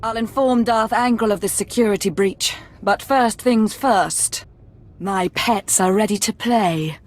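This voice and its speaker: A woman's voice: medium pitch, a little slow, close to an everyday voice. An actress playing a cruel Sith woman who keeps beasts, speaking in a cold, taunting way in a game cutscene. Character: cruel female Sith beast-tamer, gloating.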